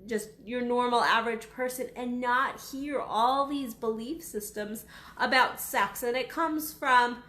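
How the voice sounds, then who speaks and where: Woman in her thirties, raspy from being sick, fairly high voice, frank and blunt, casual talk to camera. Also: measured pace, sharp tone, reverberant. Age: 30s. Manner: frank, blunt, swears freely. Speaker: sex coach. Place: small waiting room at her office.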